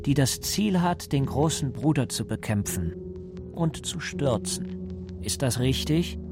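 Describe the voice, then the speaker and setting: A man playing a calm, controlling interrogator in a radio drama, putting measured, cold questions. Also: medium pitch, over music. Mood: cold control. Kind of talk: German radio drama acting.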